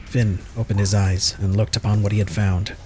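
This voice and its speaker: A man's voice: on the low side, level tone, moderate pace, warm tone, slight background noise, low-quality recording. A man narrating a fan audio drama in a scripted, dramatic storybook style. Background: prominent music.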